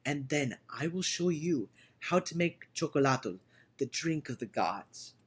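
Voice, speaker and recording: A man's voice: fairly high pitch, animated, unhurried, slightly rough. A man voicing a bold, generous god in a storybook, speaking in an earnest, declaiming manner. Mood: proud, encouraging. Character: bold, generous male god with caring temper. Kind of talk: storybook character voice.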